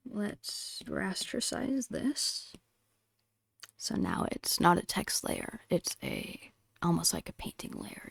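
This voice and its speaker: A woman murmurs through an ASMR drawing session in a breathy, often whispered voice. Her speech is very slow and full of heavy creak. The sound is close and dry, as she narrates in short, soft phrases. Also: medium pitch, no echo. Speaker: digital artist hosting an art channel.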